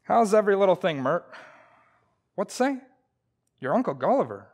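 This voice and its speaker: A man's voice: high-pitched, big pitch swings, moderate pace, darkish tone, breathy edge, full character voice. A man playing a blustering, wisecracking, stubborn husband in old-time radio comedy, with a folksy, exaggerated, jokey delivery. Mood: chatty.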